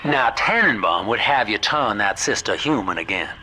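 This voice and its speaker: A man's voice: lowish voice, animated, normal speed, background noise, natural voice. A man playing a folksy, scheming businessman with an American Southern accent, guiding the player in a video game with avuncular salesman patter. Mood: persuasive, calculating. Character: folksy, scheming male businessman, smooth opportunist guide. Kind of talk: video game voice acting, radio guidance.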